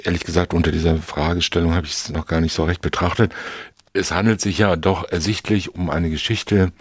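A man with a very deep voice that is noticeably husky, recorded close and dry. He speaks as an expert guest, reasoning aloud in long, deliberate, carefully hedged explanations. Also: dry recording. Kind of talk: unscripted analytical discussion.

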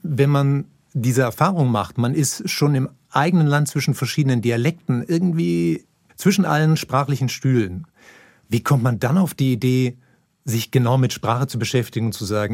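A man hosting a radio interview, asking calm, brief questions. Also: medium pitch, moderate pace, no room sound, studio quality. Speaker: radio host. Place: radio studio.

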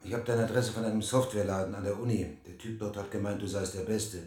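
An actor playing a male journalist who speaks quietly and coaxingly. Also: fairly low pitch, fairly even intonation, fast talker, roomy sound, natural voice.

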